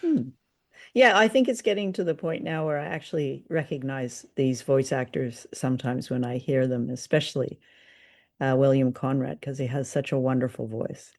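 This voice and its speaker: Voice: medium pitch, normal speed. A podcast host talking casually and with enthusiasm about old-time radio drama, in a conversational way.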